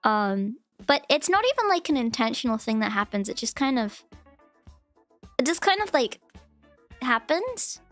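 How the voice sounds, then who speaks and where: High-voiced woman rambling on stream. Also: sing-song, normal speed, long pauses, fairly bright tone. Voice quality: cute, high-pitched voice. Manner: rambling and giggly, with many restarts and fillers. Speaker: VTuber streamer. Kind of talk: casual live-stream chat. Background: faint background music.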